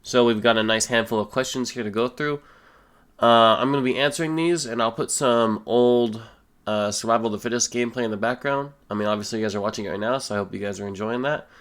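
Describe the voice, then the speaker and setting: A young man with a stuffy, slightly sick voice, casually answering viewer questions as a gaming YouTuber, relaxed and full of fillers. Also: medium pitch, quick pace. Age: young adult. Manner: relaxed, rambling, many fillers. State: stuffy nose, a little sick. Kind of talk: casual Q and A voice-over.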